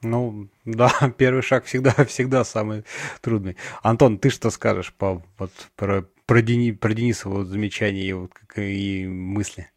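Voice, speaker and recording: A man's voice: on the low side, fairly even intonation, moderate pace, no room sound, clean studio sound. A man hosting a panel chat, asking long, searching questions full of fillers. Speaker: podcast host. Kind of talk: moderating a panel discussion.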